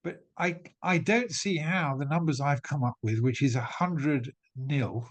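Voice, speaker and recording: A man's voice: fairly high pitch, unhurried. A middle-aged man raised in England, speaking as a guest to an audience and building an emphatic argument point by point, with frequent restarts. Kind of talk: talk to an audience.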